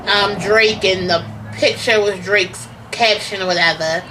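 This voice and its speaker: A woman's voice: mid-range voice, steady tone, a little slow, background noise. A woman co-hosting a casual gossip vlog, chatty and hesitant, with lots of ums and restarts. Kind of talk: casual celebrity gossip chat.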